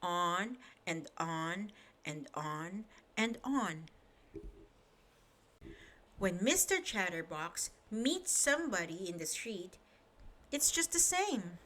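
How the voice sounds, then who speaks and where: A woman reading a children's bedtime story aloud in a lively, expressive way, asking the listener questions. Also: lowish voice, slow, pauses often.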